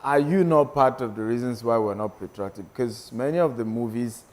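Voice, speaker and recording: A man's voice: mid-range voice, fairly quick, a little mellow. A man hosting a TV talk show, teasing his guests and laughing often as he asks questions. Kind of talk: studio panel discussion. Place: TV studio with a live audience. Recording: roomy studio with audience noise.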